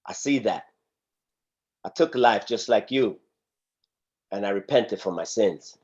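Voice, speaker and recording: Stern, philosophical guard, read by a man, low and husky, solemn table-read delivery, dull call sound. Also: darkish tone. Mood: solemn, admiring. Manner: preachy, solemn, deliberate. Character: stern, philosophical male prison guard, grudgingly admiring. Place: video call. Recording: dull, muffled video-call audio.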